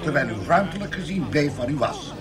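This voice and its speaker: A man's voice: a little high, lively intonation, brisk, lightly acted. A man playing a polite, formal waiter in a comic science-fiction radio drama. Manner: courteous, formal. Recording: music and crowd behind.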